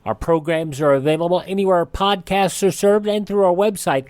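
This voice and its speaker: A man's voice: sits high, steady tone, moderate pace, darkish tone, no room sound. A man hosting a radio show, reading a conversational scripted outro. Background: faint music.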